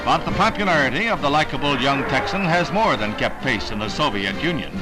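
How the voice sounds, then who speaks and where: A man's voice: mid-range voice, animated, fairly quick, poor audio. An American man narrating a 1960s newsreel in a formal, dramatic announcer delivery. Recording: vintage newsreel soundtrack, loud music behind.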